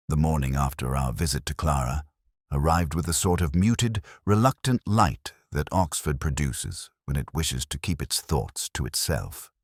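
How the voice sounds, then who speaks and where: A man voicing a very deep, brooding police inspector. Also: no room sound, clean studio sound, heavily acted. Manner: measured, solemn, literary phrasing. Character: brooding older male police inspector narrating his case, melancholy and precise. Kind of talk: scripted detective story narration.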